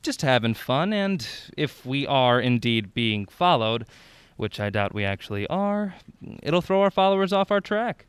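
A man's voice: mid-range voice, very animated, measured pace, smooth, no echo. An actor playing a playful, flirty man on a road trip, with a teasing, arch manner in an audio drama.

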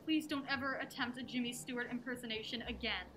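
A woman's voice: a little high, steady tone, quick pace, background noise, natural voice. A woman playing a wry, wary amateur sleuth in a naturalistic audio drama, with a dry, questioning manner. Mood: wry, teasing. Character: wry, wary young woman on a mystery hunt.

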